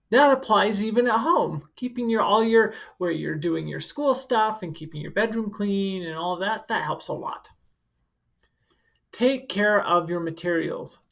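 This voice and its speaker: Woman reading to children, low creaky voice. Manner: instructive, speaking directly to children. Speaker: school counselor. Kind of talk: picture book read-aloud with informal asides to children.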